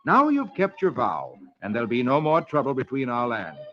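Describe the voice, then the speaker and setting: A man's voice: sits high, sing-song, normal speed, voice pushed up. A man voicing a clever, delighted young princess in a raised, pleased voice. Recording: dull old radio recording with faint hiss.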